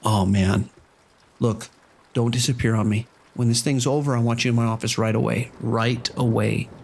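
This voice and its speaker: An actor playing a harried casino manager in an audio drama, anxious and hesitant, with a pleading way of talking. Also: male voice, medium pitch, steady tone, normal speed, vocal fry, darkish tone, a little hiss or hum, close to an everyday voice. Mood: alarmed, pleading. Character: nervous, harried casino manager, male, owing money.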